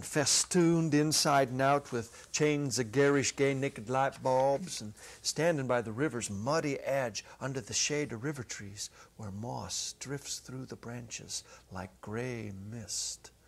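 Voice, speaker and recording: A middle-aged man giving a dramatic literary reading, deliberate and theatrical, drawing out his words. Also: medium pitch, vocal fry. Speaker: story reader. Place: indoor set with holiday decor. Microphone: clip-on lapel mic.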